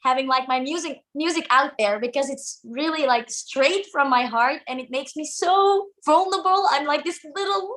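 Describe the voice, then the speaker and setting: A young woman from Flanders with a high, light voice talks about her songwriting as an interview guest. She is bubbly and giggles often, restarting her sentences as she goes. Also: normal speed, few pauses, a bit bright. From Flanders, Belgium. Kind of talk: casual interview answers.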